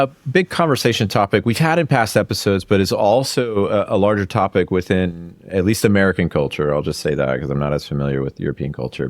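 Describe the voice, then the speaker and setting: A man's voice: sits low, no echo. A man hosting an interview podcast, asking long, rambling, friendly questions with frequent restarts. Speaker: podcast host.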